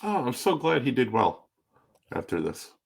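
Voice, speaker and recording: A man co-hosting a casual podcast. His pitch moves up and down a great deal as he riffs. He fills his loose, joking comments with "like" and "you know.". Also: big pitch swings, normal speed, creaky, husky. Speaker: podcast co-host.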